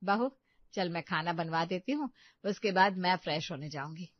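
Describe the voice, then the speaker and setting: A woman's voice: lowish voice, animated, normal speed, no echo, muffled recording. A woman voicing a bossy, middle-aged village mother-in-law who scolds and gives instructions. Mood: scolding.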